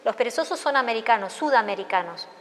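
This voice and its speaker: A woman's voice: on the high side, expressive, fast, fairly bright tone, a little hiss or hum. An Argentine woman explaining casually, as a specialist in her field would. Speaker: paleontology specialist. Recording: long reverberant hall echo.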